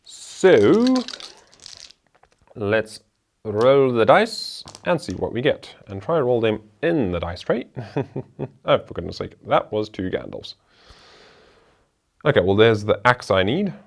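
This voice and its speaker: A man's voice: on the low side, very animated, slow, vocal fry, pauses often. A man hosting a board game show, talking through the rules and each move of a solo playthrough in a chatty way.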